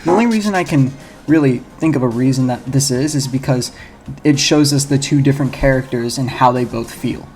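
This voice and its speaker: Guy doing a chill rambly game cutscene breakdown, mid pitch, music faintly behind. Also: a little fast, darkish tone. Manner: informal, rambling, self-correcting. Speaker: video game commentator. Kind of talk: casual video essay commentary.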